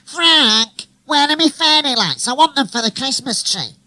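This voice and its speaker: A man's voice: very high-pitched, expressive, measured pace, sharp tone, no echo, pitched above the performer's own voice, exaggerated voice. A man voicing a stern, exasperated mum who scolds her son in a comic story. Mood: scolding.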